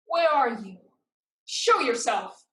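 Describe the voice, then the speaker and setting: An actress plays a proud young woman with a high voice and slow, deliberate lines. She is firm and earnest, a determined stage heroine. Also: animated, bright, forceful tone, full character voice. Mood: startled, demanding. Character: proud, determined young woman pirate-in-training, devoted to family. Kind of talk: theatrical staged reading.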